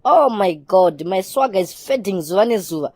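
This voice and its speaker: A woman's voice: medium pitch, expressive, normal speed, warm tone, dry recording, studio quality, natural voice. A woman voicing a haughty, swaggering youngster in a comedy cartoon, mixing English into Shona. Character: snobbish, swaggering youngster who disdains township life.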